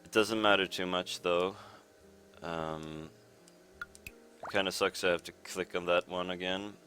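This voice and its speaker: A young Swedish man with a Swedish accent commentating his speedrun in a relaxed, rambling way, with many pauses and fillers. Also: deep voice. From Sweden. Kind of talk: casual live commentary over gameplay. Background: game music.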